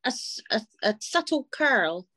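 A woman's voice: on the high side, big pitch swings, a little slow, sharp tone. A woman comedian from Devon, joining as a guest and talking in a bubbly, giggly way. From Devon, England.

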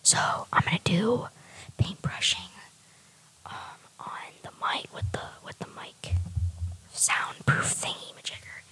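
Whispering woman, casual ASMR. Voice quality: breathy unvoiced whisper. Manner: hushed, halting, informal rambling. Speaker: ASMR video creator. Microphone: close microphone.

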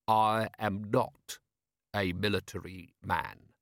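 A stubborn city watch commander in an audiobook voiced by a man. He is blunt, cynical and plain-spoken, with a mid-pitched voice in a dry, close recording. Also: expressive, moderate pace, a bit bright, no room sound, close to an everyday voice. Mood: icy. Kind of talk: audiobook character voice.

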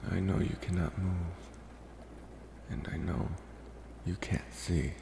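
A man playing a polite, infatuated, mysterious vampire in an ASMR roleplay, talking slowly and reassuringly with hesitant pauses. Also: low-pitched, steady tone, creaky, reverberant, in a large hall, background noise, full character voice. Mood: calm, reassuring. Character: soft-spoken male college-age vampire, politely infatuated, mysterious.

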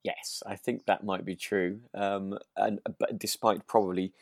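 A man co-hosting a relaxed podcast, agreeable and a little rambling and hesitant as he talks. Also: on the low side, unhurried, creaky, no echo, clean studio sound. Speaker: podcast co-host. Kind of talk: relaxed casual discussion of a radio drama.

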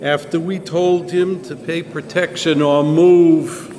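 A man's voice: a little high, level tone, slow, darkish tone. A man playing a menacing, mocking gangster in an old-time radio drama. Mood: mocking menace. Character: menacing, mocking gangster running a protection racket. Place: church hall, live performance. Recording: noisy, reverberant hall stage recording.

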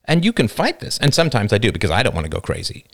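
A man's voice: mid-range voice, wildly swooping pitch, racing, vocal fry, pitched above the performer's own voice, heavily acted. A man voicing an anxious, defensive young man who stammers and talks in a pressured way. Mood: shaky, exasperated.

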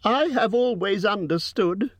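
A man voicing a small timid male hobbit who flatters nervously in a high, squeaky voice. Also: slow, creaky, dry recording, full character voice. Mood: flattering.

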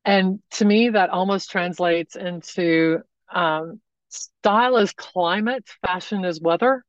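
A woman wardrobe consultant giving thoughtful, measured answers as a podcast guest. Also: lowish voice, dry recording, lo-fi sound. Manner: thoughtful, measured explanations with pauses.

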